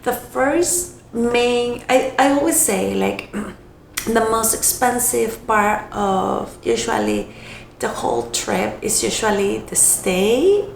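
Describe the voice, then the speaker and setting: Slow, raspy-voiced woman from Costa Rica. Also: on the high side. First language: Spanish. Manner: hesitant, self-correcting, unhurried. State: hoarse from illness. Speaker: vlogger. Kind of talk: casual chat to camera. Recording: echoey room, faint noise.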